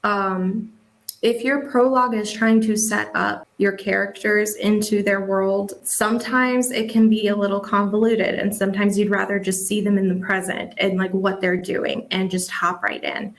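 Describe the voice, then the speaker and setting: A woman with a medium-pitched voice answers questions as an editor. She explains things in a casual, conversational way, thinking aloud and peppering her talk with informal filler phrases. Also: steady tone, normal speed, low-quality recording. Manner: informal, with frequent "like" and "you know". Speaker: webcomic editor giving advice.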